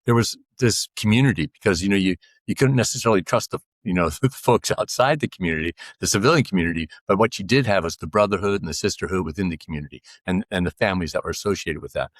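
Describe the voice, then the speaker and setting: Soft-spoken man in his sixties, rambling. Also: sits low, fast, hardly any pauses, no room sound, studio quality. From United States. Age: 60s. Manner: rambling, frequent fillers and restarts. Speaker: podcast guest. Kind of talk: casual interview conversation.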